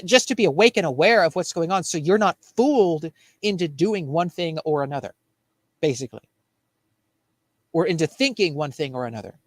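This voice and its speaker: A man's voice: fairly high pitch, no room sound. A man co-hosting a show with earnest, emphatic political commentary, often repeating phrases for emphasis. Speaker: show co-host. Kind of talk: political commentary in casual chat.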